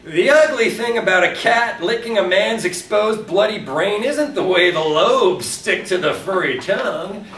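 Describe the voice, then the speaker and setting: Animated man reading horror in a roomy space. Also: sits high. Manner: theatrical, deliberate storytelling with wry humour. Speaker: author reading his own fiction. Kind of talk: dramatic live reading of short horror fiction. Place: live reading at a convention, indoors. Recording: roomy, distant live recording with background noise.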